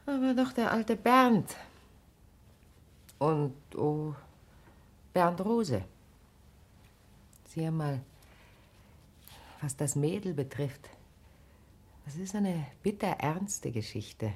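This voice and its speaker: A perceptive, caring wife in a naturalistic radio drama. Her voice is very deep for a woman. She speaks very slowly and gravely, weighing each earnest, probing question. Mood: earnest, concerned.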